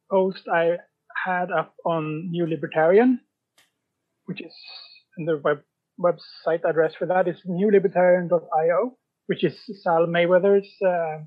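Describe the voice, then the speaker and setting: A man chatting casually as an interview guest, halting and pausing mid-sentence. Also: high voice, narrow, tinny audio. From Sweden. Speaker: podcast guest, writer.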